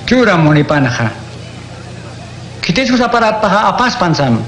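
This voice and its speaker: An actor playing a calm male preacher who teaches crowds in a steady, preaching manner, in a radio drama. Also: sits high, moderate pace. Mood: teaching. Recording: echoey room, faint noise.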